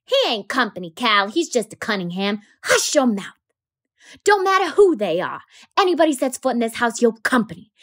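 A woman's voice: fairly high pitch, sing-song, normal speed, a bit bright, pitched above the performer's own voice. A woman reading aloud, voicing a stern housekeeper who scolds a child sharply at the dinner table. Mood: scolding.

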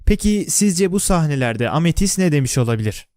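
A man's voice: on the high side, very animated, a little fast, warm tone, no echo, clean studio sound. A man with a Turkish accent reading scripted explainer narration for a video.

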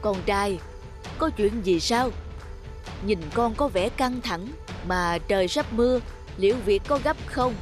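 An actor voicing a gentle, worried elderly peasant mother in an animated folk tale, tender and slow. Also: medium pitch, faint music behind, natural voice.

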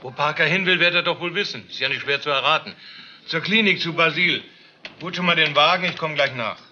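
An actor playing a sober, authoritative police detective in a German crime radio drama, terse and matter-of-fact. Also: male voice, a little high, a little fast, sharp tone, muffled recording, a little hiss or hum. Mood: commanding.

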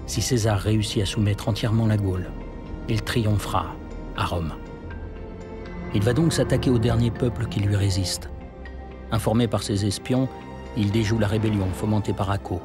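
Male narrator, serious history doc read, lowish voice, loud music bed. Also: steady tone. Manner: measured, serious. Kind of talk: scripted documentary narration.

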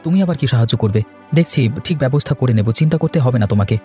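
A man's voice: medium pitch, animated, racing, mellow, soft background music, lightly acted. A man playing a worried, dutiful young married son in a cartoon, speaking earnestly and reassuringly. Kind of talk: cartoon voice acting, domestic moral story.

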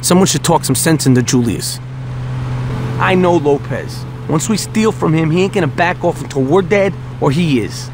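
Streetwise gang member, American, casual slang, fairly light pitch, loud vehicle noise, video game. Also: male voice, animated, moderate pace. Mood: uneasy. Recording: loud car noise behind. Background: car engine and driving.

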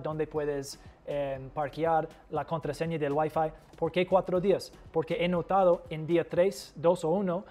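A man in his forties, a native English speaker with an accent in Spanish, giving instructional advice in halting, self-correcting phrases. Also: high voice, a little mellow. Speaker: host giving tips to rental hosts. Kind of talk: instructional talk to camera. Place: furnished living room, indoors. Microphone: lapel mic.

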